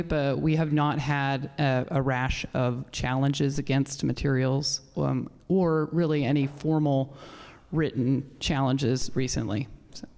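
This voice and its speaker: A city staff member answering questions at a public meeting in a measured way. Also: mid-range voice, darkish tone. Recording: reverberant hall.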